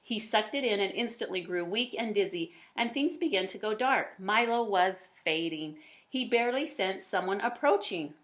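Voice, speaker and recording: A woman's voice: medium pitch, lively intonation, a bit bright. Woman reading a picture book expressively. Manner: dramatic emphasis with drawn-out words. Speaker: read-aloud storyteller.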